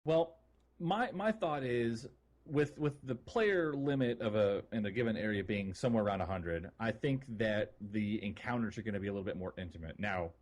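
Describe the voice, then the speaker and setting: Low-pitched man chatting casually. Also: moderate pace, a little mellow. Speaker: podcast co-host. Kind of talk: casual gaming podcast chat.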